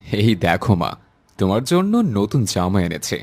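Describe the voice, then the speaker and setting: A man voicing an affectionate, gentle father in a cartoon. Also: fairly low pitch, sing-song, normal speed, creaky, full character voice. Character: affectionate father of a little schoolgirl. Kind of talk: cartoon dialogue.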